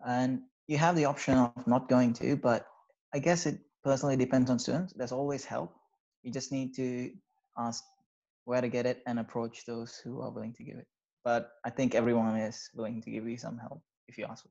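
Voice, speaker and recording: A man's voice: mid-range voice, normal speed, creaky. A young man from Sri Lanka, an undergraduate student, answering interview questions casually with many fillers over a video call from a small bedroom. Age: young adult. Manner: relaxed, hesitant, many fillers. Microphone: video call with wired earphones. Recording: slightly dull video-call audio.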